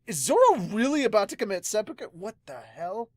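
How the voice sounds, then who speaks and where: A woman's voice: mid-range voice, sing-song, a little fast, darkish tone, no echo, clean studio sound. A woman hosting an anime podcast, giggly and exclaiming as she reacts casually to a manga. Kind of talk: casual excited reaction and read-aloud.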